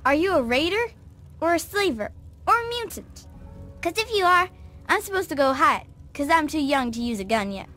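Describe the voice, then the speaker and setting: Wide-eyed small girl for a video game, woman performing; high, childlike, curious, music underneath. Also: sing-song, normal speed, pushed and bright, heavily acted. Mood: curious, innocent. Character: innocent, curious, timid little girl.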